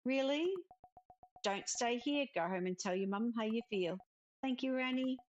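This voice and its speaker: A woman's voice: a little high, moderate pace, muffled recording, soft background music. A woman reading visual novel dialogue aloud expressively, with casual commentary of her own. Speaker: let's-player narrator.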